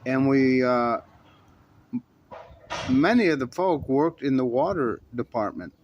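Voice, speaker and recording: A man tells a long first-person story in an unhurried, folksy drawl, doubling back and correcting himself as he goes. His pitch sits in an ordinary middle range, with a faint hum of ambience behind him. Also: animated, a little mellow, muffled recording. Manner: folksy, meandering, frequent restarts and self-corrections. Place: in his shop.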